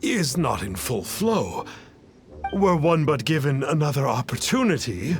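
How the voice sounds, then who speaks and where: A man's voice: a little high, big pitch swings, measured pace. A man playing a boastful, cowardly fake exorcist in a game who speaks pompously of himself as "one". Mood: haughty. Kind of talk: game cutscene dialogue. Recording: game audio with music behind.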